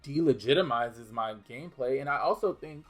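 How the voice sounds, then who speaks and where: Young guy, bit of a new orleans accent, blunt casual q&a talk, lots of ums and restarts, mid pitch, faint music under it. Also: expressive, a little fast, fairly bright tone. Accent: mild New Orleans. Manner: candid, blunt, frequent restarts and fillers. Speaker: gaming video creator. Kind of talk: casual Q&A chat.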